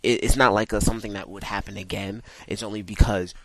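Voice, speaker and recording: A man's voice: fairly low pitch, steady tone, fast. A man co-hosting a casual gaming podcast, laid-back and hesitant, with plenty of uhs and casual swearing. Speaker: podcast co-host.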